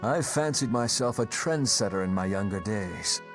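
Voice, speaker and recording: A man's voice: fairly low pitch, animated, moderate pace, over music. An actor playing a wry, reflective man looking back on his youth in a video game, musing to himself. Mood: wistful, wry. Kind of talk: video game cutscene acting.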